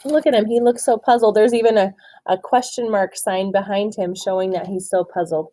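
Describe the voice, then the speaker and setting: A woman doing an interactive picture-book read-aloud for children, expressive and often asking questions. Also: medium pitch, low-quality recording. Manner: expressive, asks questions and pauses for answers. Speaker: storytime reader, teacher.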